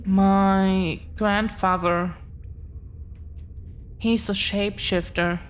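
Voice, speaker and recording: Woman playing a slow, halting, devoted dragon-blooded girlfriend. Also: medium pitch, slight background noise. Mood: hesitant confession. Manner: hesitant, halting, earnest, tender. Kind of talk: intimate audio roleplay, scripted confession.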